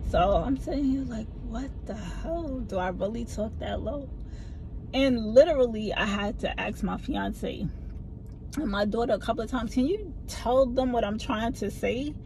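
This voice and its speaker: Animated woman vlogging from her car. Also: moderate pace, gravelly, a little hiss or hum, poor audio. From family from the American South. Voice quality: low voice that people find hard to hear. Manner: animated, talks with her hands. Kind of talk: casual storytelling to camera. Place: parked car, inside. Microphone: phone camera mounted in front of her.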